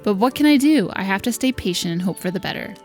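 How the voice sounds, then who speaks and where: A woman's voice: mid-range voice, expressive, fast talker, darkish tone, soft background music, close to an everyday voice. A woman voicing a young servant stepdaughter, wry and resentful but patient, reading visual novel lines aloud. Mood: resolute.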